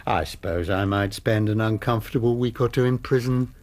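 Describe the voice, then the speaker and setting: An actor with an English accent playing a smug Victorian blackmailer in a radio drama, mock-polite, unctuous and quietly menacing. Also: male voice, fairly low pitch, close to an everyday voice. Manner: unctuous, mock-polite, mannered drawn-out exclamations. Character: smug, oily male Victorian blackmailer, falsely courteous and menacing.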